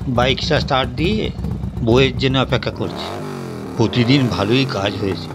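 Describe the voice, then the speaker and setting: Casting: man playing a scornful cartoon village busybody, sarcastic and sneering, mid-pitched, over clear vehicle noise. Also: moderate pace, lightly acted. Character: scornful, gossiping village man mocking a working wife. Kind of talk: Bengali cartoon voice acting.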